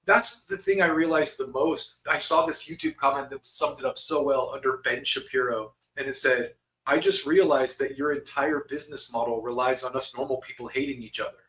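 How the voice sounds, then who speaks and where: A man from Utah, a podcast co-host, talking in a casual, profane and animated way. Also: mid-range voice, a little fast, roomy sound, rough recording. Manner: animated, profane, swings into mocking quoted voices. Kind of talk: casual conversation.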